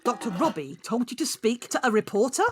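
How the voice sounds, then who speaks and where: A woman's voice: fairly high pitch, big pitch swings, a little fast. A woman playing an exasperated, sardonic grown daughter in a naturalistic audio drama, with a biting, nagging manner. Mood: incredulous.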